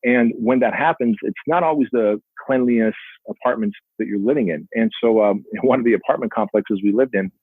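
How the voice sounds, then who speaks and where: Husky forties guy, armenian first language, earnest storyteller, phone-ish dry sound. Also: on the low side, fairly quick, no room sound. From Soviet Union, Armenian family. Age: 40s. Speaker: podcast guest. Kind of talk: storytelling interview. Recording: dry tinny phone-like line.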